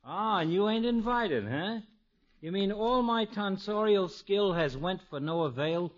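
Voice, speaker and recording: An actor playing a wisecracking small-town barber who talks in a folksy, ungrammatical, teasing way, in radio sitcom style. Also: male voice, sits high, expressive, measured pace. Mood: needling. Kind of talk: 1950s radio sitcom acting. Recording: muffled 1950s radio recording.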